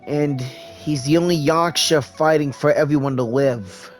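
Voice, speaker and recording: A man casually commenting while playing a game, excitable and brash with hyped reactions. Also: fairly high pitch, unhurried, faint music behind. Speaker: game streamer.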